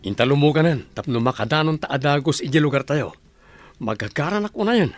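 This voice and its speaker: A man's voice: a little high, lively intonation, fast, dry recording, lightly acted. An actor playing a firm, impatient man heading home, in a radio drama. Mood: eager.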